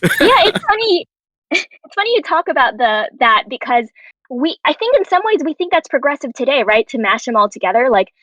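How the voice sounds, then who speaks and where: A woman's voice: high voice, very animated, fairly quick, a bit bright, dry recording, rough recording. A woman chatting casually in a panel discussion, conversational and full of quoted asides.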